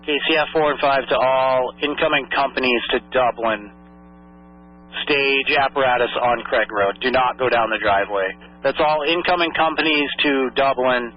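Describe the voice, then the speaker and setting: A man working as a fire dispatcher, making procedural radio announcements in clipped phrases and repeating messages back. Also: medium pitch. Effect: two-way radio. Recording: muffled lo-fi dispatch radio audio.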